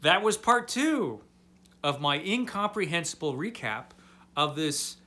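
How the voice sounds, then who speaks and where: Bright-voiced man giving a playful comic recap. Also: on the high side. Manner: animated, emphatic with drawn-out key words; tongue-in-cheek, mock-baffled. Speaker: host of a comic book review series. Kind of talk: casual comedic comic book recap, talking to viewers.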